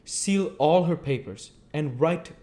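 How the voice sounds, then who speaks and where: A man voicing an elderly foreign professor, speaking in earnest, halting phrases at a raised pitch. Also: warm tone.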